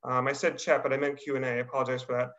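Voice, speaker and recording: A middle-aged man with a medium-pitched voice hosts a webinar. He welcomes people in a halting, polite, unscripted way. The video-call sound is a little dull. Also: level tone, fairly bright tone. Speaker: admissions dean hosting a panel.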